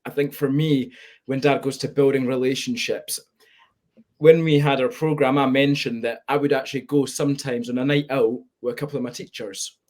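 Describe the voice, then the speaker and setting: A man's voice: medium pitch, fairly even intonation, normal speed, darkish tone. A nineteen-year-old man from Spain with a Scottish accent, speaking candidly and reflectively as a guest on a webinar video call. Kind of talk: webinar conversation.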